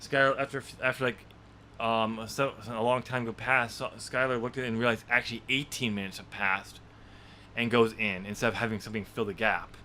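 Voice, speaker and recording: A man's voice: mid-range voice, fairly even intonation, fairly quick, sharp tone. A man hosting a podcast about his own novel draft, chatting casually, laughing often and rambling with lots of fillers. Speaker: podcast host and author.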